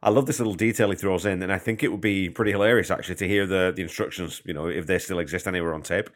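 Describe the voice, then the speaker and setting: Fast-talking low-voiced man, congested. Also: steady tone. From Canada. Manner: chatty, self-deprecating, explains patiently. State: congested from a cold. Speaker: podcast host. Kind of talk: casual podcast monologue. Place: hotel room. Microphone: portable mic.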